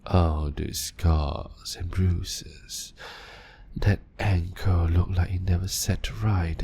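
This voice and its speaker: Gravelly sadistic vampire doctor. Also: male voice, low voice, slight background noise, full character voice. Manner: slow, silky, toying, with soft laughs. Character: male vampire doctor, calm, sadistic, clinical. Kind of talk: audio drama roleplay.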